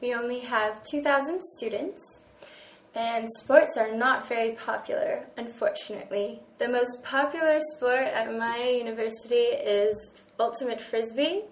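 A woman's voice: on the high side, measured pace, slight background noise. A young woman from California chatting casually about her small university. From California, United States. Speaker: university student. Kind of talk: casual chat. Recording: echoey room.

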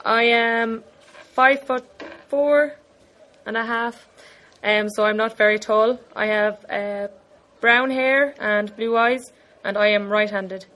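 A gentle, modest woman from the west of Ireland, describing herself. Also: a little high, steady tone, slow pace, long pauses. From Galway, Ireland. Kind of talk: self-introduction.

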